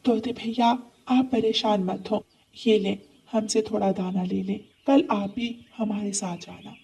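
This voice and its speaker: A woman's voice: mid-range voice, fairly even intonation, measured pace, creaky, mellow, distant mic. A woman voicing a kind, helpful young female sparrow in a children's cartoon. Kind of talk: Hindi cartoon story acting.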